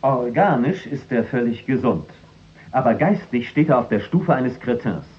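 A man's voice: mid-range voice, expressive, normal speed, muffled recording, natural voice. A man acting a serious, officious cabinet minister in a 1960s German radio play, formal and matter-of-fact.

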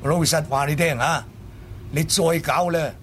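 A man's voice: fairly high pitch, measured pace, voice pushed up, lightly acted. An older man voicing a grumpy male troupe cook who was woken rudely, scolding with indignation. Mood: angry scolding. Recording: noisy background.

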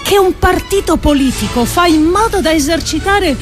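An actress playing an earnest, grave woman thinker in a theatrical radio monologue, declaiming deliberately with weighty pauses. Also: very high-pitched, expressive, over music. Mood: urgent, exhorting.

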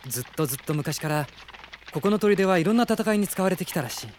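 A man playing a gentle, earnest teenage prince in an audio drama, courteous and soft-spoken as he leads his army. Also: high voice, expressive, fast talker, a little hiss or hum. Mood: attentive, curious. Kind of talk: Japanese audio drama acting.

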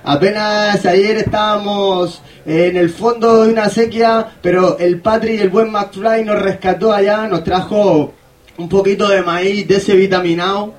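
High-voiced man, playful radio host, rambling improvised delivery. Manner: rambling, repeating words, whimsical.

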